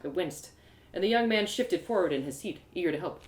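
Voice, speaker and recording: A woman narrating a first-person story live, in an expressive, theatrical storytelling manner. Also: on the low side, a little fast, reverberant, rough recording. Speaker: storyteller, audio drama creator.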